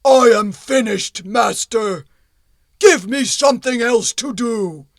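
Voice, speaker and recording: A woman voicing a hulking stone golem servant in a storybook reading, with a loud, slow, insistent growl. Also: fairly high pitch, noisy background. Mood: flat, demanding.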